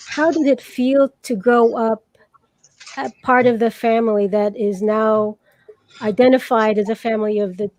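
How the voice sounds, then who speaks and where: A woman moderates a panel, introducing the guests and putting questions to them at a measured pace. Her voice is a touch higher than usual and has a mellow, warm tone. It comes across close and dry, with no room sound around it. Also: dry recording. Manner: measured, with fillers and restarts.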